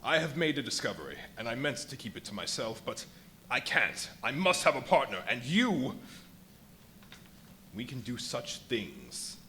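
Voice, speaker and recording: A man's voice: mid-range voice, big pitch swings, moderate pace, bright, forceful tone. A man in a live radio play, playing an irritable, ravenous, worn-out and grandiose invisible fugitive scientist, with a theatrical, imperious delivery. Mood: exhausted, wary. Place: live performance at a brewery venue. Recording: live stage recording with faint noise.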